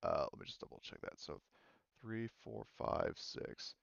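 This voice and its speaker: A man's voice: low-pitched, sing-song, unhurried, vocal fry, no echo, muffled recording. A man running a tabletop roleplaying game as game master, explaining rules casually with lots of fillers.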